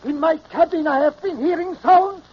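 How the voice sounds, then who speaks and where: Casting: very high-voiced frightened VIP, agitated and demanding, muffled vintage radio thriller. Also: male voice, moderate pace. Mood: panicky, demanding. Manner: agitated, insistent. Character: frightened, demanding male dignitary aboard submarine. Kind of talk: 1950s radio drama acting.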